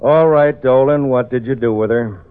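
A gruff police detective, voiced by a man, who barks short, demanding questions at a medium pitch. It comes through as a tinny, phone-like old radio recording. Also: moderate pace, natural voice. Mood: stern. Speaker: police officer questioning the lead. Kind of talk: 1940s radio drama acting.

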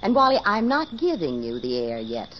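A woman's voice: low-pitched, wildly swooping pitch, normal speed. An actress playing a possessive, scheming rich woman in a haughty, cutting 1940s radio drama style. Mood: cold menace. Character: possessive, scheming rich woman, coolly taunting her fiance. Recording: dull, hissy old radio recording.

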